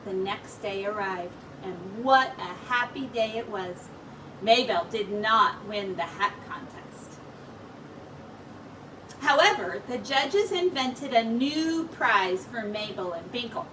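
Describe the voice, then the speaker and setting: A woman reading a children's story aloud as a teacher, with expressive narration. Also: medium pitch, slow, recorded from a distance, a little hiss or hum, poor audio.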